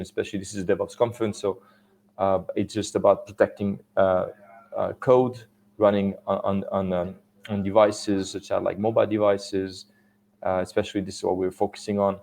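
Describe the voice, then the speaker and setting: A middle-aged Swiss man with a French accent, giving a technical conference talk in a halting way, with many fillers and restarts. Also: fairly low pitch, creaky, darkish tone. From Switzerland. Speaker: conference speaker.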